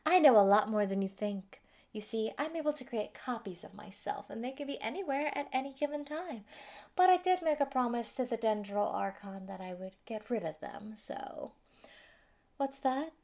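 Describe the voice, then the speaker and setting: A woman's voice: a little high, normal speed, a little mellow, close to an everyday voice. A woman voicing a sadistic, gleeful female mad scientist who taunts and gloats over a captive in a theatrical roleplay monologue. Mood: smug lecturing. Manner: taunting, gloating, giggly, swinging into whispers. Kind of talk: theatrical villain monologue, fan roleplay audio.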